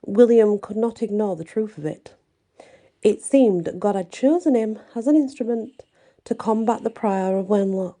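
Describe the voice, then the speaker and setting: A woman narrating a scripted folk tale in a measured storybook manner, with deliberate pauses between phrases. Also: medium pitch, mellow.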